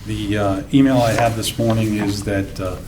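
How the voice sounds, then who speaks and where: A low-voiced man answers questions at a town meeting, measured and hedging, with plenty of ums. A noisy hiss runs under the recording. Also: steady tone. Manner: measured, hedging, with many fillers.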